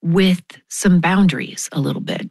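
A woman's voice: on the low side, moderate pace, vocal fry, a little mellow, no room sound, studio quality. A woman interviewer hosting a conversational interview in a reflective, affirming way. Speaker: interviewer host.

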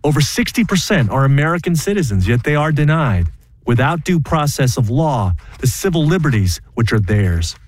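A principled young student, played by an actor, tells his story in measured, earnest first-person narration. His pitch moves in a lively way, shifting between wry asides and firm resolve. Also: a little hiss or hum. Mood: resolute. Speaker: narrator and lead in a one-man play.

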